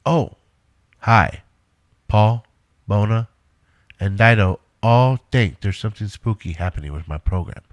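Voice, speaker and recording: A man's voice: fairly low pitch, unhurried, pauses often, dry recording, muffled recording, lightly acted. A man playing an earnest scientist who defends his invention in an audio drama. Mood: explaining, uneasy.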